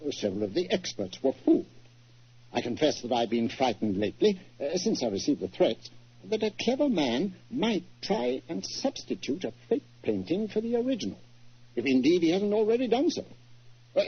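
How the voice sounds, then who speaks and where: Blustering, swooping British gentleman, husky. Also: fairly high pitch, full character voice. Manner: gruff, blustery, hemming. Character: blustering, worried titled English gentleman art collector. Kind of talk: 1940s radio acting. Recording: muffled old 1940s radio recording.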